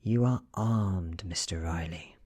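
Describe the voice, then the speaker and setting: A British man voicing an ancient, beautiful female vampire in a soft ASMR storybook reading, measured, breathy and quietly threatening. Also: deep voice. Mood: controlled, threatening.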